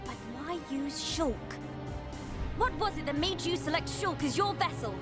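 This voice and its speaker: A woman's voice: sits high, expressive, moderate pace. A woman voicing an earnest, distressed heroine in a video game, grave and dramatic. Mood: grave, questioning. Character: earnest, distressed heroine confronting her enemies. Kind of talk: video game cutscene acting. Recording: echoing voice under loud music.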